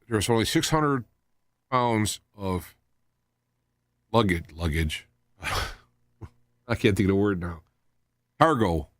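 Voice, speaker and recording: Guy hosting a live radio show, lowish voice, rambles and stops and starts, very dry sound. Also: a bit bright, no echo. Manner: halting, loosely wandering from point to point.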